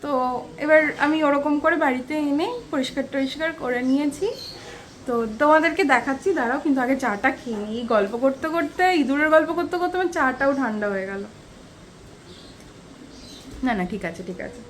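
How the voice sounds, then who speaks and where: A woman with a high-pitched voice and a West Bengali accent chats to her viewers as a home vlogger. Her delivery is casual and conversational, like someone recounting small everyday happenings. She is recorded on a handheld camera in a small room, with faint noise behind her. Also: fairly quick. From West Bengal, India. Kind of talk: casual chatty home vlog, storytelling to viewers. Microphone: handheld camera at arm's length.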